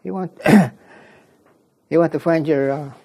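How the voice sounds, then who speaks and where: A man from Canada speaking slowly and deliberately in an interview, often pausing with fillers. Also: fairly high pitch, fairly even intonation, vocal fry, warm tone. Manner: slow, deliberate, many fillers. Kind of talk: interview commentary.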